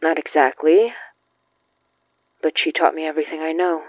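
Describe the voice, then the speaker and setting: A woman's voice: low-pitched, steady tone, moderate pace, no room sound, narrow, tinny audio. A woman playing an earnest, stubborn young woman who confronts ghosts in an audio horror drama. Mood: sombre, quiet. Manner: earnest, insistent, pleading.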